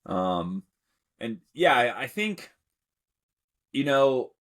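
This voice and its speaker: A young man from Alabama, telling his story in a relaxed, rambling way as a podcast guest. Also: mid-range voice, very slow. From Alabama, United States. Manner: relaxed, rambling, many fillers and restarts. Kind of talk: casual interview storytelling.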